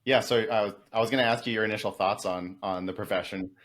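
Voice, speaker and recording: A man's voice: lowish voice, steady tone, fast talker, a bit bright. A man hosting a podcast, asking casual, chatty questions. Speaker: podcast host. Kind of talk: podcast interview.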